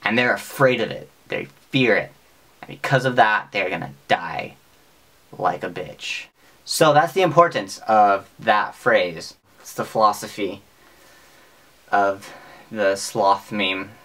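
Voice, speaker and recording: A young man with an American accent talking casually to camera in a deadpan, mock-serious, sweary comic monologue, recorded in a small bedroom. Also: lowish voice, slow pace. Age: young adult. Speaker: vlogger. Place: small bedroom with bunk bed, indoors.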